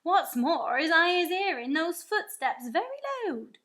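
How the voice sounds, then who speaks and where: A woman doing a big gentle giant, lively. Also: very high voice, unhurried, pitched above the performer's own voice, full character voice. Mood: wondering. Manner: broken grammar, folksy, animated. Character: big gentle old male giant, earnest.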